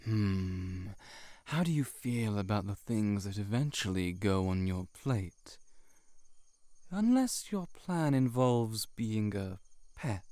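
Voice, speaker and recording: A man voicing a cynical, seductive heart-eating shapeshifter monster in an intimate audio roleplay, sardonic and measured, sometimes near a whisper. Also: fairly low pitch, lively intonation, dark tone, no room sound.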